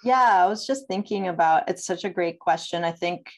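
A woman's voice: on the low side, normal speed. A woman in her thirties speaking as a panelist, explaining things over a video call. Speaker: panelist, sound practitioner. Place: indoor room.

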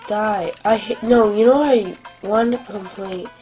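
A young woman giving casual, rambling commentary while playing a game at home, sniffly from allergies, with lots of fillers and quiet asides. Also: medium pitch, steady tone, slow, soft background music. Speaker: gameplay commentator. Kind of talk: casual let's-play commentary. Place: home room at a desk.

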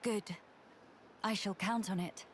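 A woman's voice: medium pitch, fairly even intonation, takes it slowly, slight background noise, lightly acted. A woman playing a noble, determined young mage in a video game, formal and earnest in manner. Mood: composed, earnest. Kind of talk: video game cutscene acting.

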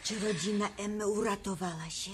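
A woman's voice: medium pitch, fairly even intonation, brisk, close to an everyday voice. An actress playing a kindly, polite, homely mother creature in a children's radio play. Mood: apologetic, caring.

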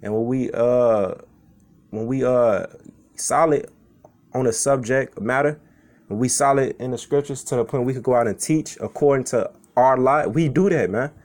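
A man's voice: mid-range voice, moderate pace, darkish tone. A man from Chicago giving an informal sermon as a street preacher, reading scripture and talking it over in a loose, conversational way, often tagging lines with "man" and "okay".

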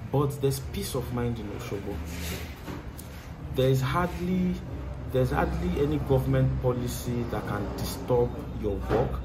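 A man's voice: mid-range voice, lively intonation, a little slow. Earnest Nigerian man outdoors. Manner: earnest, gestures a lot, repeats phrases for emphasis. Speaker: mechanic. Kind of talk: casual interview answers. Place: outdoor workshop by a tiled wall. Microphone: lapel mic. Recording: clear outdoor background noise.

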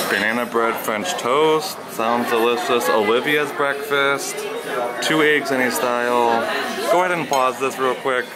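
A man in his thirties talking casually and upbeat to viewers as a vlog host, recorded on a handheld camera. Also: mid-range voice. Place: outdoor resort walkways, some restaurant interior. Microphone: handheld camera at arm's length. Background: music.

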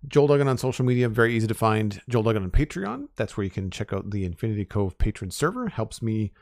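A man's voice: on the low side, quick pace, no echo, clean studio sound. A man casually chatting to his viewers while building in a game, his voice a little worn from talking all day. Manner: relaxed, chatty, talks to chat. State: losing his voice. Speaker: Minecraft streamer. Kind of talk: casual live-stream chat while building.

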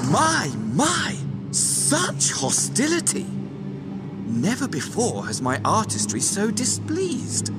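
An actor playing a flamboyant, mocking villainous sorcerer in a video game, with a drawling, condescending, theatrical delivery. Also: male voice, a little high. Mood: theatrically amused. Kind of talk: dramatic video game voice acting.

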